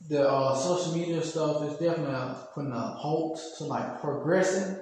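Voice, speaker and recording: A man's voice: fairly high pitch, a little fast, a little mellow. A man of twenty speaking casually as an interview guest, hesitant and full of fillers. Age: 20s. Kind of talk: casual conversation. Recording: echoey hall, faint noise.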